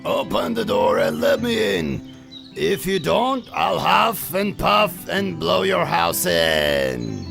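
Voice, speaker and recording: A man doing a slow gravelly big bad wolf. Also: fairly high pitch, huge pitch swings, music in the background, voice pushed up, heavily acted. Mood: menacing. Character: big bad male wolf, hungry and menacing.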